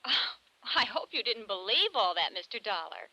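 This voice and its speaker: A woman's voice: medium pitch, big pitch swings, moderate pace, sharp tone, no room sound, poor audio. An actress playing a cool, guarded and disdainful young rich woman in an old-time radio drama. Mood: cool, evasive.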